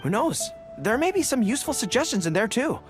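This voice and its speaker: Earnest, animated young general. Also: male voice, very high-pitched, a little fast, over music. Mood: thoughtful, earnest. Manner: earnest, thinks aloud, formal military phrasing. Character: earnest young male general, dutiful, eager, slightly flustered. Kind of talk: English anime game voice acting.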